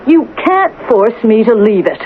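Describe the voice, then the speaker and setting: An actress playing a lonely, wounded and defiant small-town woman, speaking with deliberate indignation in an old-time radio drama. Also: fairly high pitch, big pitch swings, over loud music. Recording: dull, hissy vintage radio recording.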